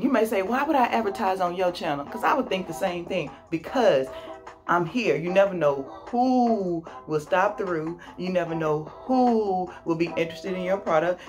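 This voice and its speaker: Upbeat woman vlogger, forties, lower register, casual home unboxing with music in the background. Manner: chatty and upbeat, with laughs and exclamations. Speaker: fitness YouTuber. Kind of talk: casual product unboxing vlog. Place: small bedroom at home. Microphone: camera mic at a few feet. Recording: music playing under the voice.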